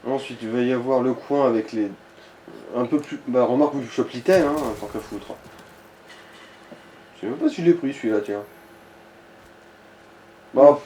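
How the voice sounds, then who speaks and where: A man's voice: medium pitch, normal speed, long pauses, mellow, echoey room, a little hiss or hum, poor audio. A man giving casual, coarse and slangy gaming commentary as he guides another player. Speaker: let's play co-host guiding the player.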